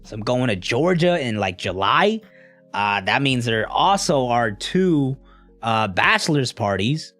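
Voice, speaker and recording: A man's voice: mid-range voice, a bit bright. A young man casually chatting with a live stream audience in a laid-back, slangy, rambling way. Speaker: streamer answering live chat.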